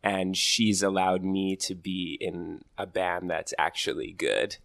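Very deep-voiced laid-back man. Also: fairly even intonation, takes it slowly, gravelly, fairly bright tone. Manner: easygoing, hesitant, filler-heavy. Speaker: guitarist. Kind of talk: casual interview chat.

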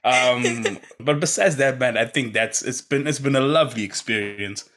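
A man co-hosting a podcast in relaxed chat, laughing often and dropping in fillers. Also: mid-range voice, moderate pace. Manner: laid-back, laughs often, many fillers. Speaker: podcast co-host. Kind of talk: casual chat.

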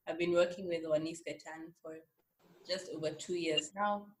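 Low-voiced young woman, echoey and distant. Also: steady tone, moderate pace. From Nairobi, Kenya. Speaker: student, guest co-host. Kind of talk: conversational self-introduction. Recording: echoey, distant mic, faint noise.

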